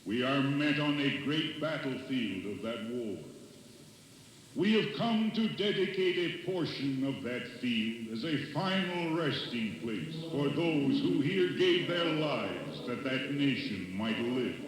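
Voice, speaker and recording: An actor playing a solemn statesman giving a stately, measured, declamatory dedication oration. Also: medium pitch, expressive, slight background noise. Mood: solemn, reverent. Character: Abraham Lincoln, solemn statesman delivering a battlefield cemetery dedication. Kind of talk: solemn dramatized public oration. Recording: echoing hall, distant, music behind. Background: music.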